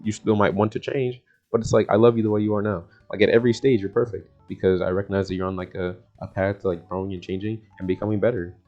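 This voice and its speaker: A man's voice: fairly low pitch, mellow. A young man giving a casual, slangy self-help talk, rambling and circling back over his points. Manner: rambling and repetitive, often chuckling mid-sentence. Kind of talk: casual, slangy motivational chat to the audience. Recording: dull, lo-fi sound with music underneath. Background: background music.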